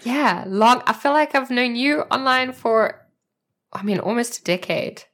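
A woman's voice: on the high side, expressive, a little slow, fairly bright tone. A woman with a South African accent chatting as a podcast guest, thinking aloud as she talks. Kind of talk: casual interview chat.

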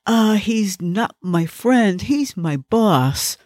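Lady playing a frazzled scolding sidekick, voice jumps up high, comic audiobook, dry sound. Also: expressive, very slow, a little mellow, dry recording. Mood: flustered. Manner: exasperated, scolding. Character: panicky, exasperated passenger, overworked underling and narrator. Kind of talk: comic audiobook character acting.

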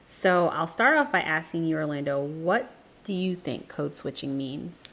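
A woman co-hosting a casual debate podcast, laughing often and shifting between quick and drawn-out phrasing. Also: low-pitched, animated, a bit bright. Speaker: podcast co-host.

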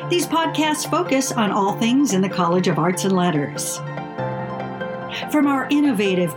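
A woman podcast host reading a polished welcome and introducing her guest. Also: on the high side. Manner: reads a polished welcome script. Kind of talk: scripted podcast intro and interview. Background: music.

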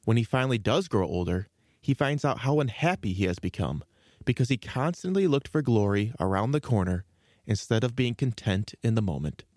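A man hosting a children's story podcast, reading a scripted introduction in a measured, presentational way. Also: mid-range voice, lively intonation, a little mellow, no room sound, clean studio sound. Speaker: podcast host.

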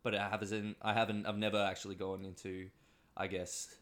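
A man's voice: fairly low pitch, level tone, a little fast, a bit bright. A man from Australia chatting casually as a playwright guest on a podcast. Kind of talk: casual chat.

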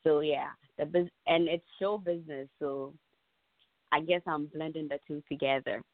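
A woman's voice: deep voice, fairly even intonation, moderate pace, no echo. A young woman with a Ghanaian accent chatting casually as an interview guest. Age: young adult. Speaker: podcast guest, aspiring actor and model. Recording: muffled phone-line sound.

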